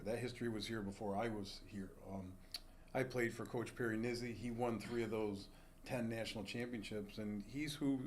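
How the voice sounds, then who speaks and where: Middle aged coach guy, kinda low voice, steady with lots of uh, bit of hum behind. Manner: measured, with "um" and "uh" fillers. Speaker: soccer coach. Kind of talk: casual interview answers. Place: college studio.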